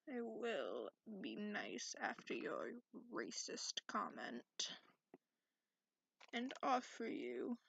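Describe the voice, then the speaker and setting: A woman voicing a cranky, sarcastic male dragon in a soft, grumbling ASMR roleplay, grudgingly polite and partly whispered. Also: mid-range voice, big pitch swings, very slow, vocal fry, pauses often, slightly rough, no echo, heavily acted. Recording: lo-fi, noisy close recording with clicks.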